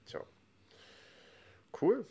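A man's voice: drawn-out words, dark tone. A man hosting a combat sports podcast in casual chat, talking loosely with frequent fillers and self-corrections. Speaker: podcast host.

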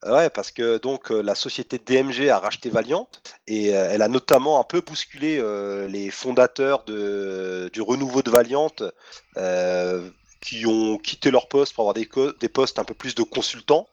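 A man's voice: medium pitch, normal speed, darkish tone, no echo. Man, stuffy nose, head cold, hesitant, filler-heavy, casual chat, muffled lo-fi sound. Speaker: comics news presenter.

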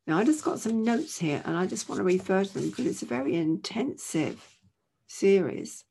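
A woman delivers a conversational sermon from home, earnest and full of casual asides. Her voice is croaky from a chesty cough, gravelly with creak and a husky, rough edge. She sits at a middling pitch for a woman. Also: lively intonation, normal speed, warm tone. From England. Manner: earnest, conversational, many "you know" asides. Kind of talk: informal sermon to camera. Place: indoors at home.

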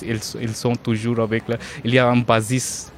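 North Indian man, Hindi native, halting non-native French, mid pitch, faint noise behind. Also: little pitch movement. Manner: hesitant learner's French, many restarts and fillers. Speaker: interview guest. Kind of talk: casual conversational interview.

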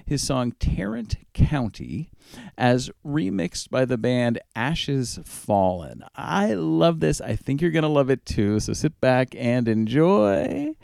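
An enthusiastic male radio host talks casually to his listeners. His pitch moves around in a lively way and his voice has a gravelly fry. The sound is close and dry, as from a studio mic. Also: dry recording. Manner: chatty, enthusiastic. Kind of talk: casual radio show introduction.

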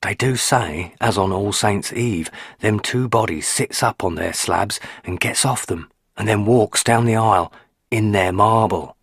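A man doing old superstitious housekeeper woman, rustic grammar, husky, dry close sound. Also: fairly low pitch, measured pace, no room sound. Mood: ominous. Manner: rustic dialect grammar, hushed ominous.

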